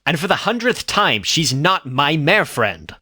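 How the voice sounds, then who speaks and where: Man acting a bright-voiced, stubborn young male pony. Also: a little high, very animated, a little slow, exaggerated voice. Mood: indignant. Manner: emphatic, indignant, youthful. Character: young male pony, stubborn, hot-headed, big-hearted, naive. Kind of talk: fan fiction audio reading, character voice.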